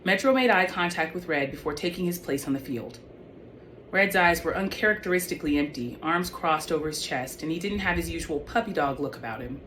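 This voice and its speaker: A woman's voice: low-pitched, expressive, fairly quick, a bit bright. A woman author reading young adult fiction aloud in an audiobook style. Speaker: author reading own book. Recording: clearly noisy background.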